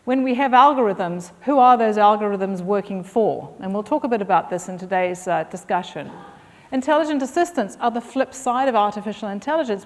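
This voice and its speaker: A middle-aged woman with a mixed British and Australian accent giving a conference keynote, fluent but with frequent ums and uhs. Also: fairly high pitch. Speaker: keynote speaker. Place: conference hall. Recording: long hall reverb.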